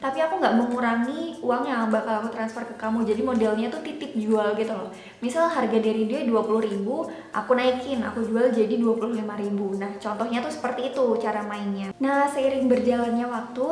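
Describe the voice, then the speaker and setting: A woman's voice: fairly high pitch, fairly quick, a little mellow. A woman in her twenties from Java, Indonesia, chatting casually and informally as a YouTube vlogger, explaining as she goes. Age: 20s. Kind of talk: casual chatty vlog talk. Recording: echoey room with background music. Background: music.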